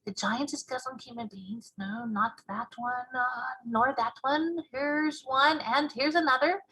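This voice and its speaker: A woman voicing an excitable big friendly giant in a storybook, speaking in folksy broken grammar with invented words. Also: high-pitched, unhurried, heavily acted, poor audio. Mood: muttering, excited. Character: excitable, muttering big friendly giant, male, dream-mixer. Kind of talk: storybook read-aloud character voice.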